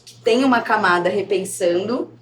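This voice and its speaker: A woman's voice: medium pitch, moderate pace. A woman from a theater company talking earnestly, thinking aloud in a casual panel discussion. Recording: echoey room with background noise.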